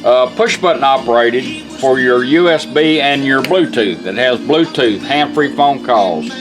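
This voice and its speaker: A man's voice: mid-range voice, lively intonation, measured pace, music in the background. A plain-spoken man giving a casual hands-on product demonstration in a folksy way.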